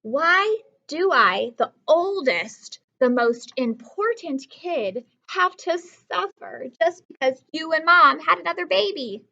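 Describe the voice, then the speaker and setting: Casting: woman as persuasive young son in a storybook, self-important and emphatic, unhurried, high voice. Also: lively intonation, vocal fry, pushed and bright, highs cut off, exaggerated voice. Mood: indignant. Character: persuasive, self-important school-age boy writing to his dad. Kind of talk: storybook read-aloud.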